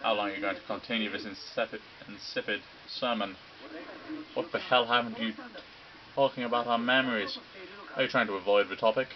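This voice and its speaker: A man voicing a cold, sharp young female detective student who questions curtly and impatiently. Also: lowish voice, steady tone, brisk, muffled recording, crowd noise, natural voice.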